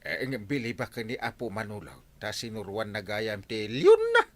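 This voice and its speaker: An actor playing a nervous, excitable village captain who stammers and jumps in pitch. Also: male voice, moderate pace, noisy background. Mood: excited. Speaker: radio drama lead.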